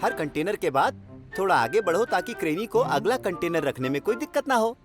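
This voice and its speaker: An actor voicing a mischievous, proud young diesel train engine in a cartoon, animated and chummy. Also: mid-range voice, brisk, music in the background, natural voice. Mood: instructing. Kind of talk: cartoon dub acting.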